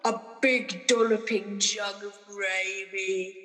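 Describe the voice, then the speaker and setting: A woman's voice: mid-range voice, little pitch movement, takes it slowly, bright, forceful tone, long reverb tail, soft background music. A woman acting a blunt, wheedling working-class prison guard in a comic radio play, brash and colloquial. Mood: coaxing.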